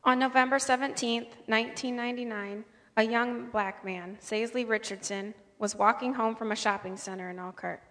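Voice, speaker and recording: A woman reading a scripted formal speech in an earnest, measured way. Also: fairly high pitch, steady tone, a bit bright. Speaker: speaker at a convocation. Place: large indoor hall. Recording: reverberant hall.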